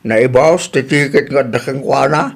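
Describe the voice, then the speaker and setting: A man's voice: medium pitch, moderate pace, creaky. A man playing a caring, concerned elderly pensioner father in an Ilocano radio drama.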